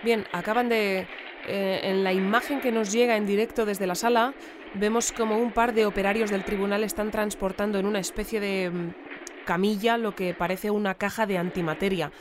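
A female reporter played by an actress gives live commentary in an audio drama. Her voice is medium in pitch and hesitant as she searches for words, with clear background noise. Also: fairly even intonation, moderate pace, close to an everyday voice. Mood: hesitant, descriptive. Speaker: broadcast reporter.

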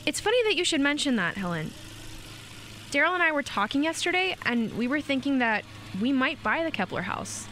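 A woman playing a gentle, modest small-town mother in a radio play, patient and a little hesitant. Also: high-pitched, big pitch swings, fairly quick, a little hiss or hum. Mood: casual, hopeful. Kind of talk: naturalistic radio play acting.

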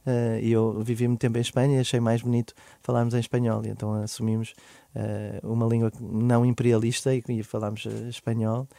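Animated Portuguese man telling a story. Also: mid-range voice, creaky, no room sound. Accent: European Portuguese. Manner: animated, laughing, quick bursts then trailing off softly. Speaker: podcast guest, singer. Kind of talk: casual interview storytelling.

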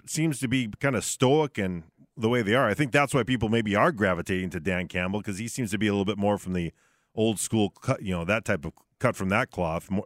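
A man's voice: lowish voice, no room sound. A man co-hosting an unscripted sports talk radio show, chatting from a studio on a close microphone.

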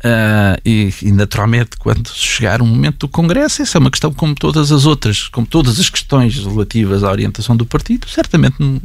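A man's voice: medium pitch, animated, fast talker, gravelly, hardly any pauses, no echo. An older man with a European Portuguese accent, answering interview questions hesitantly, with frequent restarts and fillers. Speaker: politician guest.

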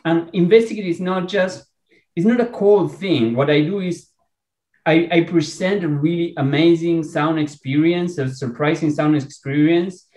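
A man from Argentina with an Argentine Spanish accent, a researcher talking in a podcast interview, enthusiastic and halting with many restarts. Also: fairly high pitch, darkish tone, reverberant. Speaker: researcher and guest.

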